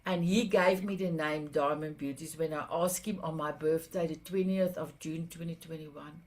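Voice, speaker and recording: A woman's voice: sits low, steady tone, normal speed, darkish tone. A South African woman hosting an interview, speaking earnestly with frequent halts and restarts. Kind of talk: conversational interview.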